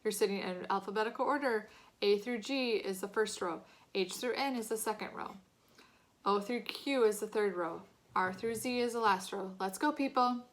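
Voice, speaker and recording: A woman's voice: mid-range voice, moderate pace, natural voice, low-quality recording. A woman reader voicing a stern, exasperated female teacher calling out commands to children in a story read aloud. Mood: annoyed.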